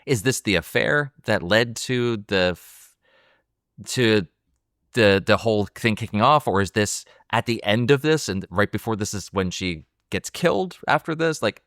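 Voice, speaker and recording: A man's voice: lowish voice, animated, unhurried, fairly bright tone, no echo, clean studio sound. A man co-hosting a film discussion, working through his questions aloud in a casual way. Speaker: podcast co-host analyzing a film.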